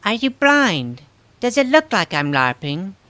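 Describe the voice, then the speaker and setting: A woman playing a young dark-haired girl in a scripted fantasy audio story. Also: mid-range voice, very animated, a little slow. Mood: scornful.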